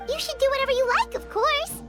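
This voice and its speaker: A woman voicing a tiny, childlike fairy companion in a video game, squeaky, cheerful and full of exclamation. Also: a little fast, loud music behind the voice. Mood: excited, encouraging.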